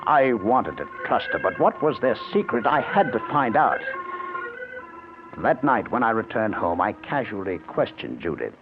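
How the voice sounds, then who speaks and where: A man's voice: lowish voice, very animated, moderate pace. An actor playing a suspicious, tormented husband who narrates his own story in intense, melodramatic old-time radio mystery style. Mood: brooding, tormented. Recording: tinny old radio broadcast, music behind.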